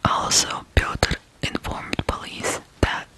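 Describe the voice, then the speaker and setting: An ASMR host from Ukraine, telling stories in a hushed whisper with frequent pauses between short phrases. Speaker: ASMR video host and storyteller. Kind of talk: whispered ASMR true crime storytelling.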